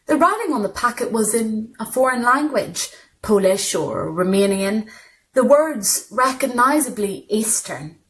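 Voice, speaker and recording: Female story reader, mid-range voice, scripted literary narration, slightly noisy recording. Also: medium pitch. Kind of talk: literary short story narration, read aloud.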